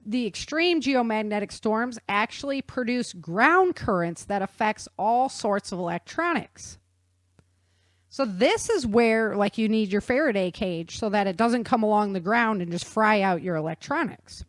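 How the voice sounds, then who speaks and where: A woman explaining things in an animated, conversational way with casual slang. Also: medium pitch, a little slow, dry recording. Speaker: guest author explaining research.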